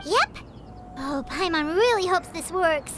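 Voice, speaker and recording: A woman's voice: very high-pitched, expressive, unhurried, heavy background noise. A woman voicing a small, childlike fairy companion in a video game, bubbly and chirpy, with a love of food. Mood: hopeful. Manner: chirpy, refers to self in third person. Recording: game music behind voice.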